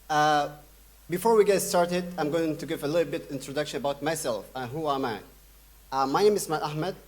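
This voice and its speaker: Young male keynote speaker from Sudan, slightly high voice, hesitant delivery full of fillers. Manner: halting, many fillers and restarts. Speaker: conference speaker. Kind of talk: conference keynote talk.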